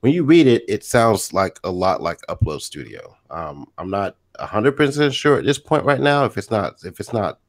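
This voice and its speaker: A man with a very deep voice explains a rumor on a gaming podcast in a relaxed, easygoing way. His pitch swings widely as he talks. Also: normal speed, hardly any pauses. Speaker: podcast co-host. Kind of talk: casual podcast chat.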